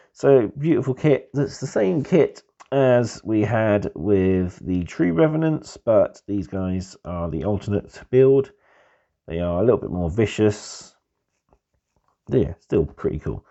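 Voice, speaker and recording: A hoarse man nursing a heavy cold hosts a laid-back review, his voice gravelly and worn. His tone is mellow and dark, but his pitch swings up and down with lively turns. The recording sounds dull and muffled. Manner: relaxed, easygoing, keeps calling things pretty cool. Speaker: host of a tabletop hobby channel. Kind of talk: casual magazine review.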